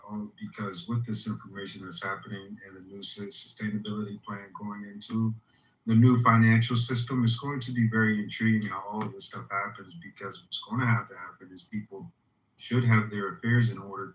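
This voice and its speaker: A man's voice: lowish voice, roomy sound, slight background noise, rough recording. A man calling in and talking at length in an earnest, meandering way about coming upheaval. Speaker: caller. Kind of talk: rambling conspiracy monologue.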